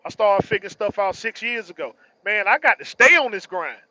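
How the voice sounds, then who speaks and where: A man's voice: sits high, expressive, brisk, pushed and bright, no room sound, muffled recording. A man in his early forties giving a casual, slangy motivational monologue, emphatically repeating phrases for stress. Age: early 40s. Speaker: truck driver vlogger.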